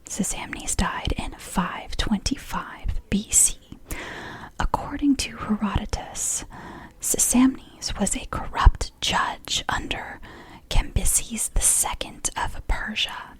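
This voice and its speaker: A woman's voice: mid-range voice, slow, low-quality recording. A woman in her thirties reading aloud in an ASMR whisper, with chatty asides and apologies, her throat sore from whispering. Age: 30s. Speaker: ASMR creator. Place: home.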